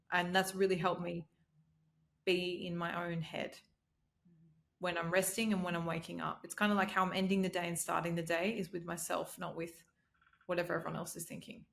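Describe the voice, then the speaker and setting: A woman in her late twenties speaks in a lowish voice with plenty of vocal fry. She is earnest and motivational, sharing reflections in a relaxed podcast chat. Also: a little fast. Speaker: podcast conversation partner. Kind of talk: casual, reflective podcast conversation.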